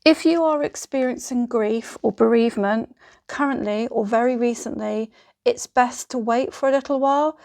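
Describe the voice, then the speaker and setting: Female advice presenter, slightly high voice, informal and instructional, addressing the listener directly with reassurance. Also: a little mellow. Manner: encouraging, reassuring.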